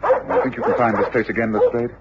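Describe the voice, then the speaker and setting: An actor playing a shrewd, commanding gentleman detective with an English accent, precise and authoritative, in old radio drama. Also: mid-range voice, huge pitch swings, fast talker. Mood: brisk, commanding. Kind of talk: 1950s-60s radio drama acting. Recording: dull, noisy old radio drama recording.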